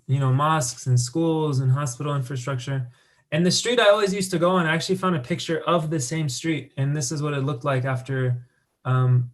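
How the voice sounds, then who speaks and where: A young American man giving a conference talk about his work, speaking loosely with many fillers and restarts. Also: fairly high pitch. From United States. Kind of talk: conference presentation with slides.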